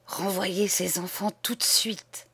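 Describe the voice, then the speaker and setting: A woman voicing a pale, distraught nun who pleads in an urgent, hushed voice. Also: medium pitch, sing-song, drawn-out words, breathy edge, exaggerated voice. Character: pale, distraught middle-aged nun, then sharp.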